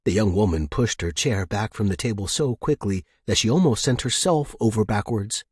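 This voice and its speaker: A man narrating scripted suspense fiction in a measured, dramatic manner, as an audiobook reader. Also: lowish voice, lively intonation, darkish tone, husky, no echo, studio quality.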